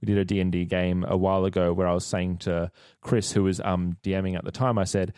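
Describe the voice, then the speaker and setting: A man's voice: deep voice, little pitch movement, a little fast, a little mellow, clear, resonant tone, dry recording, clean studio sound. An Australian man chatting casually on a tabletop gaming podcast, explaining things earnestly as one of the players. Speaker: actor and player on a tabletop role-playing podcast. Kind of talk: casual chat.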